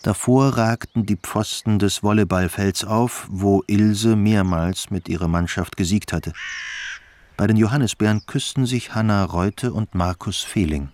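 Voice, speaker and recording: A man's voice: on the low side, measured pace, background noise. A man narrating a radio drama in a scripted literary style. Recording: music behind the voice. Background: music.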